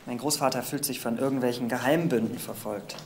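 An actor playing a young, defensive aristocratic heir in a crime audio drama. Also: male voice, medium pitch, steady tone, fairly quick, a little mellow, a little hiss or hum, lightly acted. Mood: pleading, insistent. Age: young adult.

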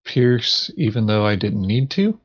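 A man's voice: lowish voice, sing-song, unhurried, lo-fi sound. A man chatting casually during a board game in a hesitant, terse, dry manner. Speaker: board game player in a recorded play session. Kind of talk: casual gameplay chat.

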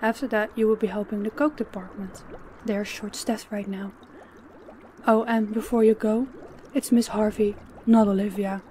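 A woman playing a stern, menacing female boss in an audio drama, speaking in a commanding, deliberate way. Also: medium pitch, flat delivery, dark tone, slight background noise, close to an everyday voice. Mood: cold, threatening. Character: stern, menacing female crime boss posing as business owner.

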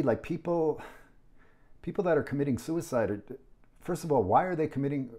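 An American man in his sixties giving casual commentary as he reads an article aloud, halting often and rambling into asides. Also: mid-range voice, mellow. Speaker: vlogger. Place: indoors in a small home kitchen.

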